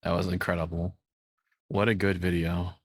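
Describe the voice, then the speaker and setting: A man's voice: deep voice, little pitch movement, normal speed, breathy edge, dry recording. A man chatting casually as a livestreamer, rambling and swearing in an informal way. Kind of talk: casual reaction stream chat.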